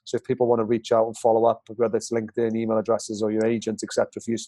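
A man's voice: lowish voice, completely flat delivery, warm tone, no echo. A man hosting a podcast, chatting in an upbeat, encouraging way. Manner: upbeat, encouraging, quick talker. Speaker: podcast host. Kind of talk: casual interview chat.

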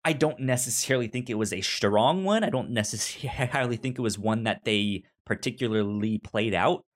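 A man co-hosting a podcast, casually discussing a movie in a halting way, often stuttering and repeating words and syllables. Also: mid-range voice. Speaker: podcast co-host.

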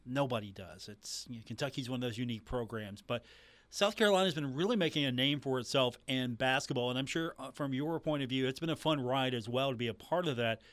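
A man's voice: medium pitch, fast, a bit bright, dry recording. A man hosting a sports radio show, speaking in a conversational style.

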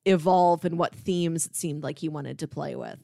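Woman on a podcast, bit low voiced, dry no echo, just chatting casually. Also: fairly even intonation, moderate pace, creaky, warm tone. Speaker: podcast co-host. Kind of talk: casual conversational podcast chat.

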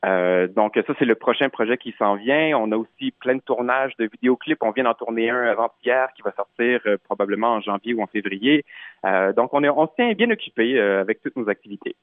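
A man from Quebec speaks casually as an interview guest, with a Québécois accent and a middle-pitched voice. He often restarts his phrases, and the sound is dry and a little dull. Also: animated, brisk, no echo. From Quebec, Canada. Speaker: singer in a vocal group, interview guest.

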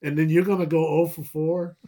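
A man's voice: fairly high pitch, level tone, a little fast, mellow, low-quality recording. A man, chatting casually about sports, rambling and laughing often. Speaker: podcast guest.

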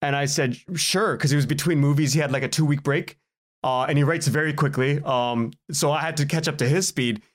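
A man's voice: on the high side, a little fast. A man, a podcast guest telling anecdotes in a casual, self-deprecating way.

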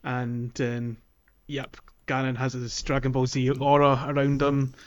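A man's voice: mid-range voice, monotone, measured pace, highs cut off. A man hosting a casual gaming podcast, talking in an enthusiastic, geeky way. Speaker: podcast host. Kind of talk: casual game discussion podcast.

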